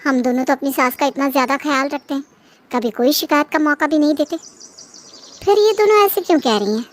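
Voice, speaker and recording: A woman's voice: high voice, brisk, slight background noise, close to an everyday voice. A woman voicing an earnest, courteous young daughter-in-law in a cartoon moral story. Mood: hurt puzzled.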